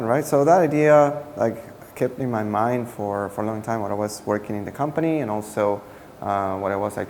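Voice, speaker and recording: A man's voice: mid-range voice, a little mellow. A man from Peru, a startup co-founder answering interview questions earnestly while searching for his words. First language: Spanish. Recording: echoey room with faint noise.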